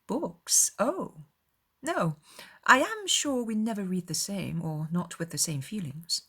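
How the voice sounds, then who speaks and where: A woman's voice: mid-range voice, expressive, slow pace, sharp tone, slightly rough, exaggerated voice. Woman acting a spirited, arch young lady. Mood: flustered. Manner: arch, articulate, emphatic. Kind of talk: dramatized audiobook acting.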